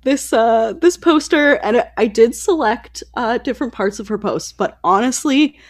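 A woman's voice: a little high, lively intonation, moderate pace. A woman hosting a podcast in a casual, conversational style, informal and full of asides. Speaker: podcast host. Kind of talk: casual conversational book chat.

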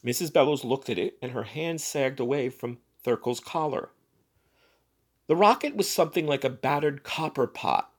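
A man reading a literary short story as a dramatic narrator, with expressive, deliberate delivery. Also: mid-range voice, slightly rough. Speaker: story reader.

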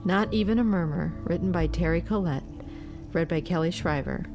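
A woman's voice: lowish voice, normal speed. A woman hosting a podcast, reading scripted announcements and introductions. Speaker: podcast host. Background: music.

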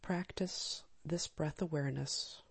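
Female meditation guide, unhurried delivery, breathy tone, calm, gentle, long pauses between phrases. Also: low-pitched. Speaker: meditation teacher. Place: live small group session.

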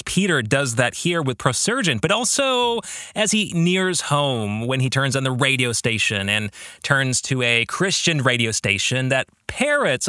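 A man hosting a reflective book discussion, speaking earnestly and thoughtfully to listeners and pausing between phrases. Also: medium pitch, sharp tone, dry recording, studio quality. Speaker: podcast host and author.

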